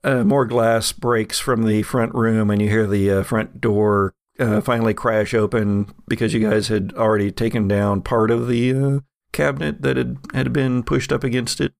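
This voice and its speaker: A man running a tabletop game, improvising narration with halting, drawn-out hesitations. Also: fairly low pitch, flat delivery, creaky, no echo, clean studio sound. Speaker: game master.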